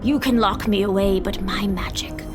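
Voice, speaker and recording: A woman plays a dignified, defiant empress held prisoner in a sci-fi fantasy audio drama. Her mid-range voice delivers measured, dry barbs over a clear music bed. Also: mid-range voice, fairly even intonation, natural voice. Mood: defiant, solemn. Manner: regal, dry, measured taunts. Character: proud, defiant, composed imprisoned empress.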